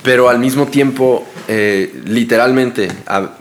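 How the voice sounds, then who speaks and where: A man hosting a casual podcast chat who speaks earnestly and haltingly, pausing to search for his words. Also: mid-range voice. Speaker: podcast host.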